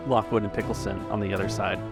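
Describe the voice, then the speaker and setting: A man narrating a tabletop roleplaying game as game master, thinking aloud in a halting way. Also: on the low side, little pitch movement, creaky, loud music behind the voice.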